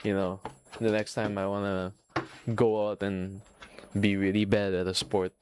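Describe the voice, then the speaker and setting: A man's voice: lowish voice, level tone, unhurried, background noise. A young man from Singapore with a Filipino accent, rambling casually and swearing freely as the host of a solo podcast. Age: young adult. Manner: profane, rambling, self-mocking.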